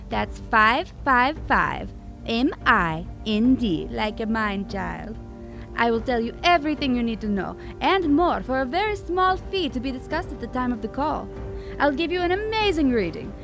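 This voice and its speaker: A theatrical psychic sells readings in a grandiose, salesy manner, voiced by an actress. Her voice is high and bright, with music playing behind it in this parody ad. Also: exaggerated voice.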